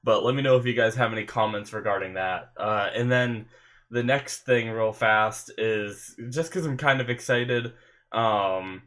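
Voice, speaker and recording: A man's voice: on the low side, a little slow. A young man with a stuffy nose, chatting casually to his webcam from his bedroom and rambling with lots of fillers as he hosts an anime reaction. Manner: rambling, full of fillers and restarts, excitable. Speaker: anime reaction channel host. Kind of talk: casual rambling chat to camera. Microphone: webcam setup at a desk.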